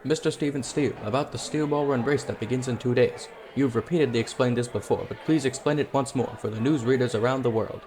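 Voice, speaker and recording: A man playing a formal, serious official in a comic dub, speaking in a measured way. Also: mid-range voice, darkish tone, slight background noise, lightly acted. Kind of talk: comic-book dub voice acting.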